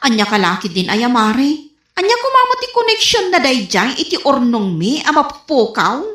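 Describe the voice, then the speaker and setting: A woman's voice: fairly high pitch, very animated, moderate pace, a bit bright. A woman playing a gullible, defensive wife who pleads in an Ilocano accent in a radio comedy drama. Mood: worried. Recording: roomy, echoing sound.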